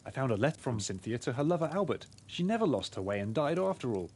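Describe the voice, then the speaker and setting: A man's voice: medium pitch, sing-song, quick pace, a little mellow, husky. A man playing a pig detective in a video game, laying out his deductions in an expository, storytelling way. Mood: conclusive. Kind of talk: scripted game dialogue.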